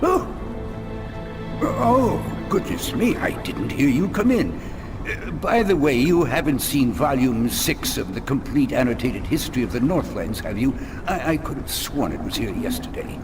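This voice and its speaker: A man's voice: mid-range voice, sing-song, a little slow, music in the background. A man playing a fussy, absent-minded elderly librarian monk who rambles and chides in a fan-dubbed adventure game. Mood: flustered. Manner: rambling, dithering, chiding, easily sidetracked.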